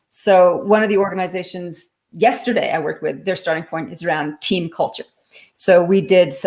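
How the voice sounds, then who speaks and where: Woman guest, lower-pitched voice, clear and explanatory. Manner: articulate, explanatory, lightly laughing. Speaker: guest, leadership author and consultant. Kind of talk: live online interview.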